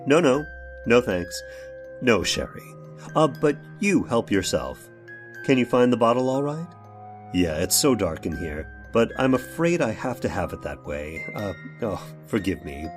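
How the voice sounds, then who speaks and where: A man playing a fussy, evasive, hesitant workshop owner recounting a crime in an old-time radio horror drama. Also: lowish voice.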